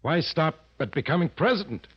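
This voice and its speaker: A man's voice: a little high, expressive, a little fast, loud music behind the voice, natural voice. An actor playing a tough, pushy gangster henchman with slangy, clipped talk in a 1940s radio drama. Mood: curious, impressed. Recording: dull, lo-fi old radio recording.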